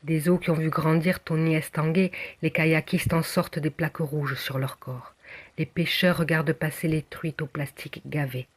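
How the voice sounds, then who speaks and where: A person from southwest France reciting a poem in measured, declamatory phrases with deliberate pauses. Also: medium pitch, little pitch movement. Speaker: poem reader. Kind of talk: recited spoken-word poem.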